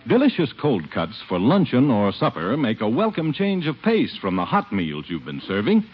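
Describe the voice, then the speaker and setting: An American man with a husky voice reading a scripted 1950s radio commercial as an announcer. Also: medium pitch, huge pitch swings, moderate pace, highs cut off, soft background music.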